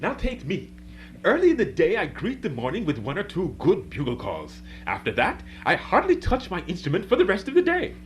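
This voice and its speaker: A man's voice: high-pitched, wildly swooping pitch, a little fast. A man playing a vain, boastful rooster bugler in a radio play, with a pompous, self-satisfied manner. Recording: dull, faintly noisy radio recording.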